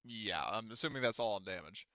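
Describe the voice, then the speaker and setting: Male game master, casual and profane, smooth tone, close dry sound. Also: lowish voice, expressive, a little fast, pushed and bright, no room sound, lo-fi sound. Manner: informal, swears freely. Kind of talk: casual tabletop game narration and rules talk.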